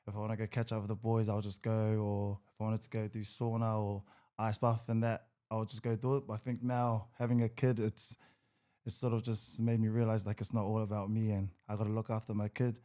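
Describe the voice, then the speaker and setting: A man in his twenties with a New Zealand accent, a podcast guest who talks in an easygoing, hesitant way with many pauses. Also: on the low side, warm tone. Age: 20s. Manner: easygoing, hesitant with many ums, laughs a lot. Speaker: guest, rugby league player. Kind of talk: casual podcast interview.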